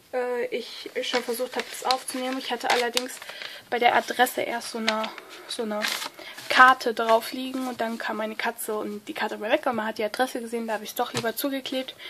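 A woman with a cold and a stuffy nose, chatting excitedly with lots of fillers as she opens and reads letters at a table. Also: a little high, noisy background. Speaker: vlogger opening a card-swap letter. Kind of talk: casual unboxing chat, reading letters aloud. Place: indoors at a table, hands-only overhead view.

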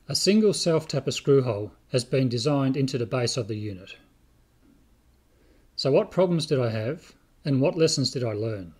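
Older aussie guy doing a step by step explainer, bit of husk in the voice, measured delivery. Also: mid-range voice, a little mellow, husky. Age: older, about 60. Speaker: video host, 3D print designer. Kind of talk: scripted explainer narration.

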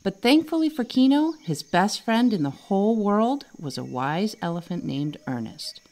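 A woman reading a children's story as narrator, expressive and dramatic. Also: mid-range voice, normal speed. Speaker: author reading own storybook.

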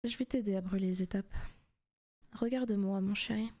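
Soft, teasing demon girlfriend acted by a woman. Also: mid-range voice, normal speed, natural voice. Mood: taunting, possessive. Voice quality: soft, often breaking into whispers. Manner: teasing, coaxing, possessive. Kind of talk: intimate ASMR roleplay.

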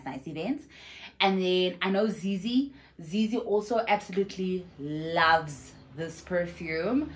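A young woman from South Africa chatting effusively in a casual perfume review vlog, filmed at home on a camera in front of her. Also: lowish voice. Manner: chatty and effusive, with exclamations and fillers. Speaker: vlogger. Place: home room with shelves, indoors.

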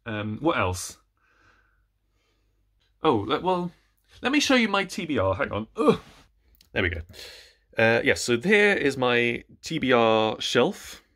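A man's voice: mid-range voice, very animated, slow, long pauses, fairly bright tone. A man in his thirties chatting casually about books to camera, hesitant and rambling, often breaking off mid-sentence. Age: 30s. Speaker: book reviewer vlogger. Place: small indoor room with bookshelves.

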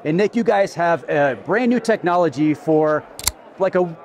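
A man's voice: on the high side, animated, normal speed. A man in his thirties hosting a casual product interview, enthusiastic and agreeable as he talks. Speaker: video host interviewing exhibitors. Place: busy indoor expo hall booths.

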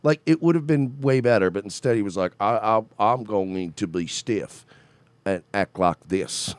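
A man's voice: on the low side, moderate pace, dry recording. A man in his thirties co-hosting a podcast in casual chat, telling stories and mimicking people he met. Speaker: podcast co-host. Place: home studio with red curtain.

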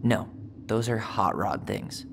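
A man's voice: lowish voice, expressive, takes it slowly, fairly bright tone, a little hiss or hum, exaggerated voice. Youthful, easygoing American young man. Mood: light, earnest. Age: young adult. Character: easygoing, sensitive young man, a reminiscing twin brother. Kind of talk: naturalistic video game dialogue.

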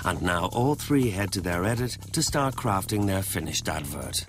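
Male narrator, low-pitched, expressive, measured scripted read. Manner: measured, matter-of-fact voice-over. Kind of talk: scripted reality show narration. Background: music underneath.

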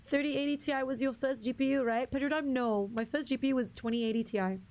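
A young woman with a husky voice, chatting casually with viewers on a game stream, rambling and restarting her sentences. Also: sits high, quick pace, a little mellow. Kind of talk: casual live-stream chat reading viewer comments.

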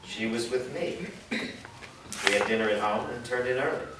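An actor playing an old village blacksmith in a staged fantasy reading, plainspoken, guarded and defiant. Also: male voice, medium pitch, a little slow, natural voice. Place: indoor performance room before a small audience. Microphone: far camera. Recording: echoey hall, distant mic, noisy.

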